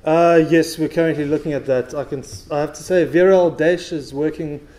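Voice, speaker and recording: A middle-aged man giving a conference presentation from a podium in a large auditorium, speaking in a measured way with frequent uh fillers. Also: fairly high pitch, fairly even intonation, mellow. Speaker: researcher presenting at a talk. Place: stage of a large dark auditorium.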